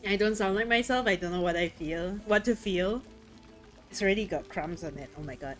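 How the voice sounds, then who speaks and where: A woman streaming a video game and chatting casually, excitable and swearing freely, her throat a little rough today. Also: mid-range voice, fairly quick, faint music behind. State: throat a bit rough, voice deeper than usual. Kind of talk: casual chat while gaming.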